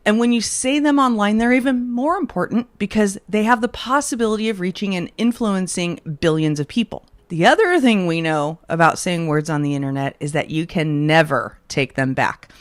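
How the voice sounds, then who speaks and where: A woman host giving scripted true-crime commentary in a measured, pointed way, leaning on rhetorical questions. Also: medium pitch, dry recording.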